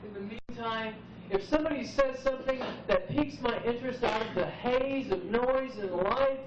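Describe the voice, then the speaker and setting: A man in his forties speaking as a poet at an open mic, musing reflectively and unhurriedly with dramatic pauses. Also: extremely high pitch, crowd noise. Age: 40s. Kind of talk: live spoken-word musing. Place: live open mic venue, roomy. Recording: muffled noisy VHS recording, echoey, distant.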